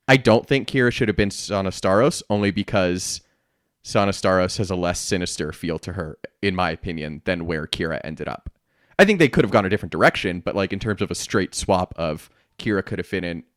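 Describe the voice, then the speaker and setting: A man with a fairly low voice chats as a podcast co-host, recorded close and dry. He gives his opinions in an informal, emphatic, conversational way. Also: no room sound. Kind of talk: casual opinionated fan discussion.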